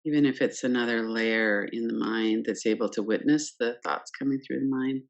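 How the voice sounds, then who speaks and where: A woman teaching guided meditation, speaking calmly and gently in short phrases with pauses between them. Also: very deep voice, muffled recording. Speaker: yoga nidra meditation teacher. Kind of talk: guided meditation, unscripted instruction.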